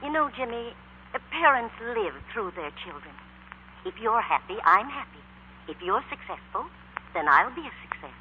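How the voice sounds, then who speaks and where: An actress playing a gentle, loving middle-aged mother with tender, measured delivery in 1940s radio drama style. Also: on the high side, big pitch swings. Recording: tinny, noisy, humming 1940s radio recording.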